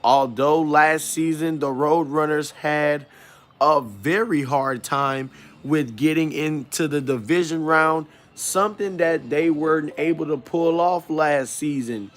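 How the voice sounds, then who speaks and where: A man hosting an informal sports game preview, speaking haltingly and often correcting himself. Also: fairly high pitch. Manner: halting, stumbling delivery with self-corrections.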